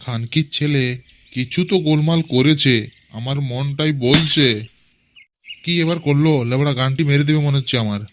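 A man's voice: fairly high pitch, fairly even intonation, moderate pace, very noisy. A man voicing a foul-mouthed village father in a cartoon, grumbling and suspicious.

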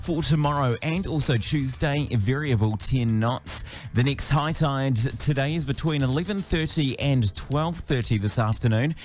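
A man's voice: mid-range voice, moderate pace, darkish tone. A man presents a scripted radio weather and marine forecast. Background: music bed.

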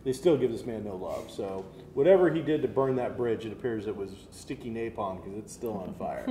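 A man's voice: lowish voice, brisk, warm tone. Opinionated American man in a roomy space. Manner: opinionated, jokey. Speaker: co-host of a geek culture show. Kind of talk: casual opinionated panel chat. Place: indoor room set, seated around a table. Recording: roomy sound, faint noise.

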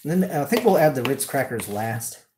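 A man's voice: mid-range voice, sing-song, brisk, darkish tone. A man hosting a casual cooking livestream from his home kitchen, talking off the cuff and jokingly as he works. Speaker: cooking show host. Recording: clearly noisy home kitchen livestream audio.

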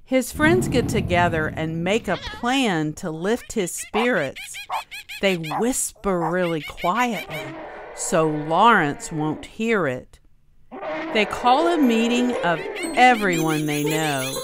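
A woman reading a rhyming children's picture book aloud in an expressive, deliberate storytelling manner. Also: lowish voice, noisy background. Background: music behind the voice.

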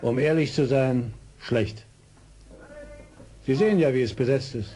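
An actor playing a proud, beleaguered British fort colonel in a German audio drama, speaking in a formal, measured military manner. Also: male voice, mid-range voice, dark tone, close to an everyday voice. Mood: grave, worried.

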